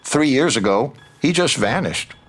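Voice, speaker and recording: A man's voice: medium pitch, lively intonation, a little slow, fairly bright tone. A man playing a smug, persistent investigator in an audio drama, pointed and goading as he probes. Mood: probing, pointed. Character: needling, probing male investigator, smug and persistent. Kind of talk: full-cast audio drama acting.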